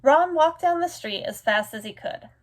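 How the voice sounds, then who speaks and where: Higher-pitched woman reading a children's story. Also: lively intonation, normal speed. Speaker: read-aloud storyteller. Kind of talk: children's picture book read-aloud.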